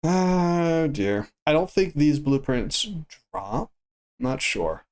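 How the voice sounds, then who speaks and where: A man streaming a game who chats casually in a flat, stoic way and swears freely. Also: fairly high pitch, takes it slowly, clean tone. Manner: monotone and stoic delivery. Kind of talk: casual chat while gaming.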